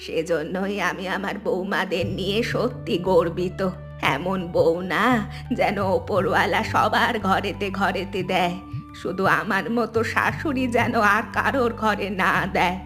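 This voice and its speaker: A woman plays an elderly cartoon mother-in-law, humbled and full of regret. She speaks in an earnest, confessional way at a middling pitch, with soft music underneath. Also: unhurried, lightly acted. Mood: affectionate, humbled. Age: older. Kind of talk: Bengali cartoon family drama dialogue.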